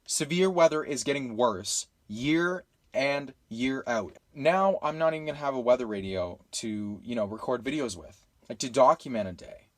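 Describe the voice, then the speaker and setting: A man's voice: mid-range voice, expressive, moderate pace, few pauses, no echo. A man with a Canadian accent making an impassioned, unscripted appeal, emphatic and exasperated, leaning on rhetorical questions. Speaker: weather radio video maker.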